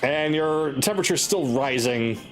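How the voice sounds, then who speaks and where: A man's voice: fairly high pitch, animated, normal speed, soft background music, poor audio. A man running a tabletop roleplaying game, narrating results to his players in a casual way. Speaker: game master.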